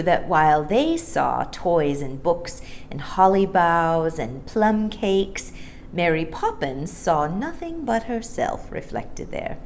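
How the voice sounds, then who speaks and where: A woman reading a children's story aloud in an expressive, theatrical way, acting out the dialogue. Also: sits low, slight background noise. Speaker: storytime reader.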